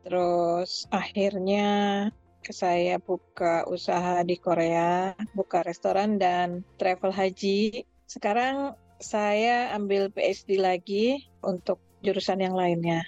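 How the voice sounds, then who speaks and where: A woman's voice: mid-range voice, steady tone, takes it slowly, a little mellow, dry recording, faint music behind. A middle-aged woman from Yogyakarta with an Indonesian accent, answering interview questions conversationally in lo-fi audio. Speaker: interview guest, restaurant owner.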